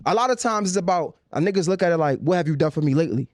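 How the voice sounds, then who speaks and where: Emphatic man in his thirties, high voice. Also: expressive, very fast. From Baltimore. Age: 30s. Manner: emphatic, repeats words when pressing a point. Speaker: podcast guest, journalist. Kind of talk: heated casual conversation.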